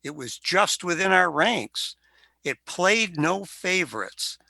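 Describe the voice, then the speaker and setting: A man playing a slow, gravelly veteran ghost. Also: high voice, big pitch swings, bright, forceful tone, no echo, exaggerated voice. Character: male World War One veteran ghost, wistful, proud. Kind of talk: amateur radio play acting.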